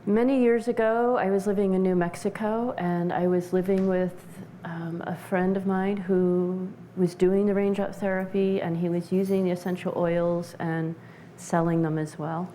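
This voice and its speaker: A woman giving explanatory interview answers as a practitioner, measured and halting as she searches for words. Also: on the low side, level tone, dark tone, slight background noise. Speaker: interview guest, essential oils practitioner.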